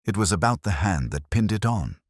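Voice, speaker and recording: A man playing a deep, kindly police inspector. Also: very animated, a little fast, dry recording, clean studio sound. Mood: reassuring, wry. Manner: gentle, wry, reassuring. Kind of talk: storybook character dialogue.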